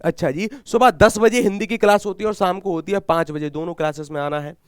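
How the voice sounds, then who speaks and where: A man's voice: high-pitched, fast, smooth, dry recording, clean studio sound. A man teaching an online exam-prep class, who asks and answers his own questions and repeats key points with emphasis. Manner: emphatic, urging students.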